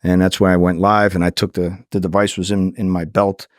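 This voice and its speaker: A man's voice: low voice, level tone, fairly quick, dry recording, studio quality. A middle-aged man telling anecdotes casually as a podcast guest, acting out the dialogue and swearing freely. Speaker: podcast guest, former undercover agent.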